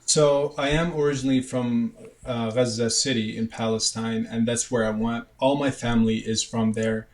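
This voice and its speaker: A young man from Canada answering interview questions in a halting way, with many fillers and restarts. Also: medium pitch, fairly even intonation, rough recording. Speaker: guest, medical student.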